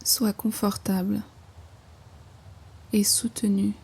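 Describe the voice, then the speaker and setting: Female meditation guide, dark soft tone, level pitch, hushed phrase endings, faint ambient noise behind. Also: flat delivery, takes it slowly, creaky, husky. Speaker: yoga nidra guide. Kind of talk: guided meditation, slow soothing instruction.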